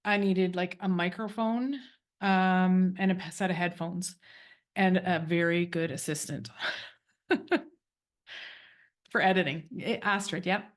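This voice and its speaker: A woman hosting a group coaching call, talking in a bubbly, quick, talkative way. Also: on the low side, fairly even intonation. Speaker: course host.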